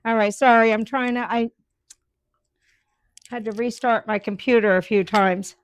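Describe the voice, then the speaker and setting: A woman's voice: on the high side, steady tone, normal speed, no echo. A woman chatting live with viewers about her woodturning, rambling with lots of ums and restarts. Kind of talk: casual live-stream chat.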